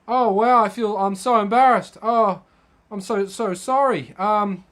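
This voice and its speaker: A man's voice: high voice, measured pace, fairly bright tone. A man voicing a large man from a bar story, swearing at first and then gushing apologies. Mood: apologetic.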